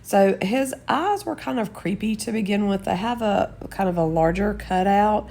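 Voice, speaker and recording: A woman with a Southern US accent, slightly hoarse and scratchy from a cold, chatting through craft steps as a channel host. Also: mid-range voice, normal speed, dark tone. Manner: chatty, homey, explains each step. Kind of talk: casual DIY voice-over.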